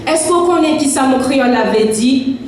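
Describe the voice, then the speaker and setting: A woman's voice: lively intonation, fairly quick, a little hiss or hum. A woman from Haiti, whose first language is French, performing spoken word poetry with rhythmic, emphatic delivery. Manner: rhythmic, emphatic recitation, higher and more forceful when reciting. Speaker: poet. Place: large echoey festival hall. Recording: echoey hall recording from far off.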